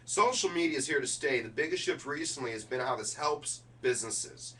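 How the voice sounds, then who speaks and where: A man's voice: mid-range voice, fairly quick, pushed and bright, distant mic. A middle-aged man running through a keynote speech draft, switching between presenter delivery and offhand asides. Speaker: keynote speaker rehearsing a speech.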